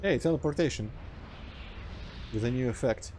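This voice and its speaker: A man's voice: medium pitch, moderate pace, darkish tone, slight background noise. Dry-humored Russian man narrating gameplay. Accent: mild Russian. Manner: dry, sarcastic asides. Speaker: gameplay commentator. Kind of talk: casual let's play commentary.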